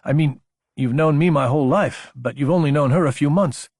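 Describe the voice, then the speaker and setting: A man's voice: fairly high pitch, a little fast, darkish tone, slightly rough, dry recording, studio quality, pitched above the performer's own voice. A man voicing an anxious, earnest young military officer in an audiobook, protesting in informal speech.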